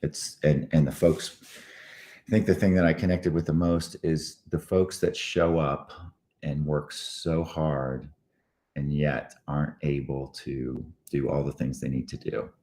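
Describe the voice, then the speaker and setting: A man hosting a short morning show, talking casually while hoarse and tired, clearing his throat often and pausing on fillers. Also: rumbling low, fairly even intonation, warm tone. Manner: frequent throat clearing, halting with many fillers.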